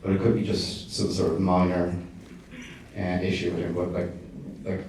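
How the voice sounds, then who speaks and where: A man's voice: low-pitched, flat delivery, normal speed, darkish tone. A man, a documentary director, answers audience questions at a festival screening, hesitant and full of fillers and restarts. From Ireland. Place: screening hall. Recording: distant echoey hall, faint noise.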